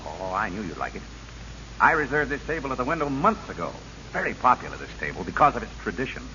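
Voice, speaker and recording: An actor playing a courteous, gently hesitant gentleman suitor in an old radio drama, polite in manner, on a muffled, hissy recording. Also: medium pitch, sing-song, fast talker. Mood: tender, hesitant. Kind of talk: 1930s-40s radio drama acting.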